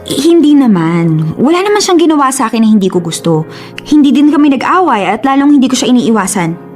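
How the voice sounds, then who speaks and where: A woman's voice: sits high, big pitch swings, fairly quick, a little mellow. A woman with a Filipino accent playing a young, guilt-ridden older sister in a radio drama, hesitant and pleading as she makes excuses. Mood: coaxing, apologetic. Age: young adult. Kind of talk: Tagalog radio drama acting. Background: music.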